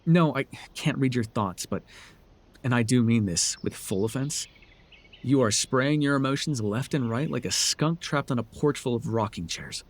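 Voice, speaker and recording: A man's voice: mid-range voice, normal speed, natural voice. A man playing a sardonic, telepathic supervillain in a dramatic audio roleplay, with a theatrical, taunting way of talking. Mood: scornful, probing. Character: sardonic male telepathic supervillain, menacing yet curious. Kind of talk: dramatic ASMR roleplay acting.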